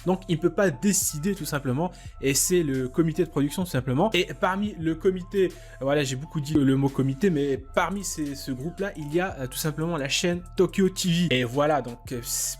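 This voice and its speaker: A young man talks into his desk mic with a voice pitched a little above the usual, rambling through his opinions with plenty of filler words and the odd swear. He gets excited easily and breaks into exclamations. Faint music plays underneath. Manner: rambling, repeats filler phrases, swears casually; excitable, laughs and exclaims while watching. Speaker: anime reaction youtuber. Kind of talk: casual reaction chat. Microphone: desk microphone.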